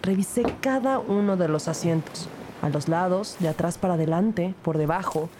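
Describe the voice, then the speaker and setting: An actress playing an observant junior detective, in first-person audio drama narration and dialogue. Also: female voice, lowish voice, normal speed, background noise, close to an everyday voice. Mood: measured, narrating.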